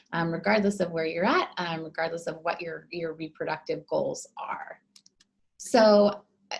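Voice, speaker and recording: A woman fertility doctor giving an educational talk, explaining clearly with frequent fillers. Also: lowish voice.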